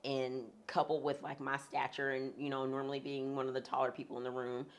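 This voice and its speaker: Her voice sits extremely low and has a gravelly rasp to it. She is a woman being interviewed and talks frankly and conversationally, with a few humorous asides. Her husky tone stays steady throughout. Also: fairly quick. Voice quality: deep, husky voice. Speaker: interview guest. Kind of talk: candid sit-down conversation.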